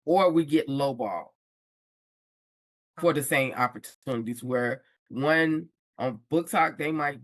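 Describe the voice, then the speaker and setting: A woman speaking as a podcast guest in an unhurried, candid way, dropping slang as she tells her story. Her voice is dry and close, with no room around it. The delivery is loose conversation, not a script. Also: a bass voice, no echo. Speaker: podcast guest, book influencer. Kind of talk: casual conversation.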